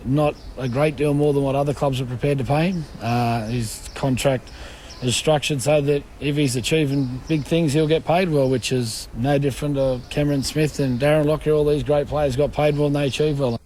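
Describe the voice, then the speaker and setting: A man's voice: mid-range voice, fairly even intonation, moderate pace, darkish tone, poor audio. A man with an Australian accent, a sports coach giving a spoken interview comment. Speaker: rugby league coach. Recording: faint background ambience noise.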